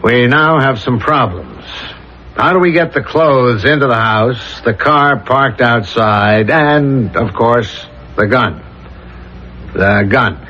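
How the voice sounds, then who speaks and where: An actor playing a dogged, methodical police inspector in a 1970s radio drama, questioning and reasoning aloud. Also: male voice, mid-range voice, animated, bright, forceful tone, slight background noise. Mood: probing. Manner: probing, deliberate, methodical questioning. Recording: dull lo-fi old radio recording.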